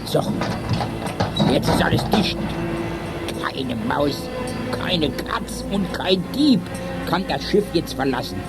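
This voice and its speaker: A grumpy old watchman in a children's audio drama. He mutters and exclaims in a slow delivery with big swings of pitch. Loud music and effects sit behind him. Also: lively intonation. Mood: gruff, gloating. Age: older. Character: grumpy old man guarding a criminal gang's barge. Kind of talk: German children's radio play acting.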